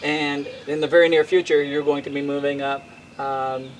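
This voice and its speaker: Halting man hosting an outdoor interview. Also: on the high side, little pitch movement, a little hiss or hum, low-quality recording. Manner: halting, many fillers and repeated words. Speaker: interviewer, host. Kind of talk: casual interview questions.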